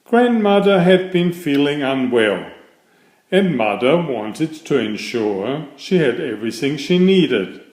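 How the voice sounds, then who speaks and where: A man narrating a children's fairytale aloud in a deliberate, expressive storybook style. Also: fairly high pitch, roomy sound.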